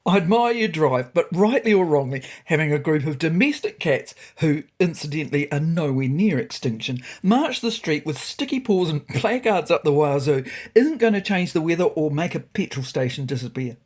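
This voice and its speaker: A smug, opinionated tomcat from a storybook, played by a woman. He is pompous and derisive, with swooping, animated pitch and a slightly husky edge. He sounds forever amused at other people's expense. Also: moderate pace, hardly any pauses. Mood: condescending. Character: smug, mocking, opinionated male cat visitor.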